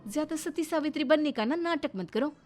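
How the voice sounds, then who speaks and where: A woman's voice: high-pitched, a little fast, a little mellow, soft background music, voice pushed up, lightly acted. A woman playing a resentful young daughter-in-law in a family story, complaining and justifying herself. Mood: accusing. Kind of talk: dramatised Hindi family story.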